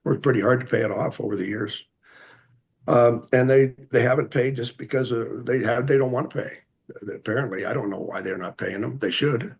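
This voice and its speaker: An American man giving halting, plain-spoken public comment at a hearing, speaking earnestly and hesitantly. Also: medium pitch, creaky, breathy edge. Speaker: member of the public addressing a commission.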